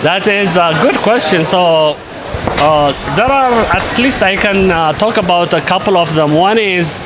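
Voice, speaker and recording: A man from Ethiopia, an invited conference speaker, answering interview questions in a measured way with frequent pauses and fillers. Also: high voice, lively intonation, noisy background. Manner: measured, with many hesitations and fillers.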